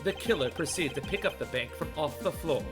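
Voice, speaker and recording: A man voicing a poised, confident game prosecutor in a formal, theatrical, deliberate manner for a fan dub. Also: fairly high pitch, expressive, lightly acted. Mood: assertive, explaining. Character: poised, confident male prosecutor in a mystery game, arguing his case. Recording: music behind the voice.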